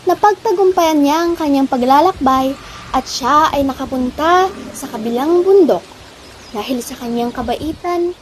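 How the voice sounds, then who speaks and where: A woman's voice: sits high, moderate pace, a little hiss or hum. A young woman narrating a scripted children's tale for a puppet show.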